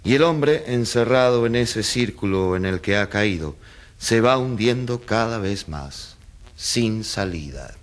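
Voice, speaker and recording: An actor playing a grave doctor giving emphatic, didactic expert testimony in a radio courtroom drama. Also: male voice, on the low side, lively intonation. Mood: emphatic, sombre. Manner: emphatic, didactic, measured declarations.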